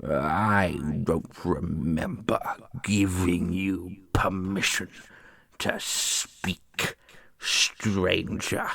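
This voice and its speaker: A man voicing a stern, haughty grand master of a holy order in a fantasy audio roleplay, speaking in a deliberate, imperious way. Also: low voice, fairly even intonation, creaky, fairly bright tone, slightly rough, heavily acted. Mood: cold, offended.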